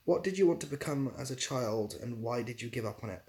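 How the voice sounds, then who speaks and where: A man's voice: mid-range voice, lively intonation, normal speed, background noise. Laid-back man chatting on a livestream. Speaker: live streamer answering chat questions. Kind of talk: casual chat, reading out and answering viewer questions.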